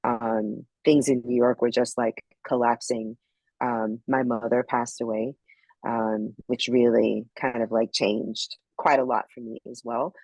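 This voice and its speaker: A woman's voice: rumbling low, flat delivery, measured pace, a little mellow, dry recording, rough recording. A middle-aged woman from Barbados, a podcast guest telling her story in a reflective, casual way with many ums. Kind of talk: casual interview chat.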